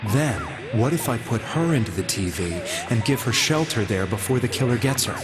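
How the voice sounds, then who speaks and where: A male actor as a troubled, earnest man confessing in a video game drama. His delivery is solemn and halting, heavy with guilt. Prominent music plays behind him. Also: mid-range voice, animated, natural voice. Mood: somber, reflective.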